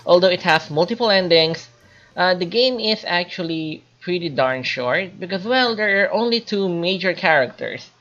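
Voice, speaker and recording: A woman giving an informal spoken game review in a hesitant, halting, explanatory way. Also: fairly low pitch, lively intonation, fairly bright tone, highs cut off. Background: light background music.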